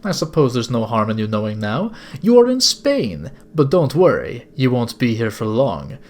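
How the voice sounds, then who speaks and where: A man's voice: medium pitch, big pitch swings, moderate pace, a little mellow, natural voice. Elderly game villain, played by a man: serene, grandiose cult lord, foreign accent, unctuous, swooping delivery. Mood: smug calm. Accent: put-on foreign accent. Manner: formal, unctuous, preaching. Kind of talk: video game villain monologue.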